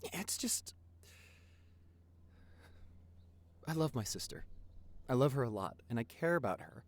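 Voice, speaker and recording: A man playing a sincere, protective young boyfriend in an intimate audio roleplay, rambling earnestly with halting repeats and pauses. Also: medium pitch, sing-song. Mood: upset, tender.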